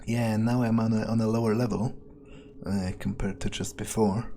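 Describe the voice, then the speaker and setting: A man role-playing a ruthless, casually murderous vampire thinking aloud in improvised game commentary, musing, hesitant and foul-mouthed, with dark amusement. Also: on the low side, fairly even intonation, moderate pace, a little hiss or hum, close to an everyday voice. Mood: musing, darkly amused. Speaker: gaming streamer role-playing his character. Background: game music.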